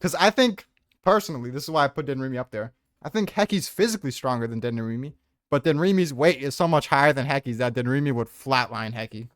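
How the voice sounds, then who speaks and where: A man's voice: medium pitch, quick pace, dry recording, clean studio sound. A man debating casually with a blunt, combative manner and plenty of swearing. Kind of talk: casual debate about a manga ranking.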